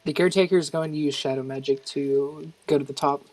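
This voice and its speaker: A woman with a low voice plays a tabletop roleplaying game. She speaks casually and somewhat haltingly, describing her character's moves over faint music. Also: fairly even intonation, darkish tone, dry recording, low-quality recording. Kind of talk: casual third-person roleplay.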